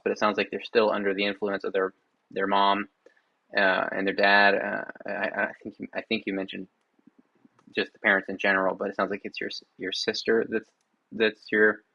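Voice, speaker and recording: A man hosting a call-in listening show, talking casually and hesitantly, thinking out loud with many fillers and restarts. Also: fairly low pitch, no room sound, lo-fi sound. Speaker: host.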